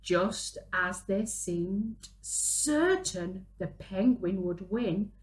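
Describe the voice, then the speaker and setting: A woman, reading a picture book aloud to young children in an animated, dramatic way. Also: mid-range voice, a little hiss or hum. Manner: animated, dramatic gasps and drawn-out exclamations. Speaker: children's storyteller, early years presenter. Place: small room at home, indoors.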